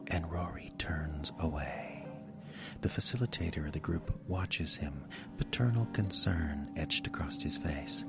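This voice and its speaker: Male horror narrator; very deep, hushed and whispery, over a music bed. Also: measured pace, gravelly. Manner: hushed, half-whispered delivery. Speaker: storyteller.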